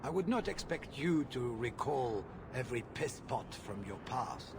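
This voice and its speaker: A man's voice: mid-range voice, big pitch swings, a little slow, background noise. An actor voicing a haughty, hot-tempered, ruthless merchant in a video game, pompous and contemptuous in his formal phrasing. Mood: haughty, irritated. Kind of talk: video game cutscene acting.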